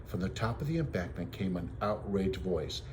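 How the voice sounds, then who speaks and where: A man with a fairly low voice narrates a children's story like a teacher reading to a class. The delivery is expressive, and there is slight noise in the recording. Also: normal speed. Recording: noisy home recording.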